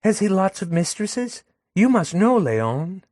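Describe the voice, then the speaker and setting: Man doing a high, sing-song young woman, sugary and spiteful, audiobook character. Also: big pitch swings, a little slow, darkish tone, no room sound, studio quality, full character voice. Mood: catty. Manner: sweet, wheedling and catty. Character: coy jealous young woman, cooing to her lover.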